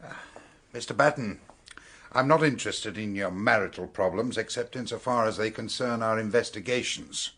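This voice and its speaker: A man playing a brisk, no-nonsense police detective with a British accent in a radio drama, businesslike and blunt. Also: lowish voice, bright, forceful tone. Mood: pressing, stern.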